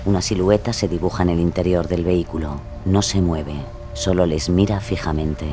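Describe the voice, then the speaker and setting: A man's voice: low voice, steady tone, normal speed, music in the background. A man narrating a scripted, dramatic true-crime reenactment.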